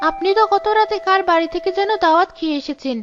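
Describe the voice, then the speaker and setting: Casting: actress as long-suffering cartoon newlywed wife, very high voice, pleading and lamenting, lo-fi sound. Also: female voice, fast talker. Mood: plaintive, pleading. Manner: plaintive, polite, lamenting. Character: long-suffering, hungry young bride, pleading and reproachful. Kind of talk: cartoon dialogue acting. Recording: dull lo-fi sound with music behind.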